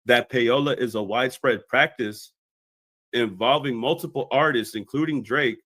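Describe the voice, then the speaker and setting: A man's voice: medium pitch, normal speed, fairly bright tone, no echo. A man delivering scripted explanatory commentary as a video commentator.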